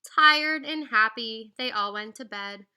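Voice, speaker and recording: A woman reading a children's picture book aloud in an animated, singsong storyteller's way, with teacherly asides. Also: fairly high pitch, takes it slowly, clean tone.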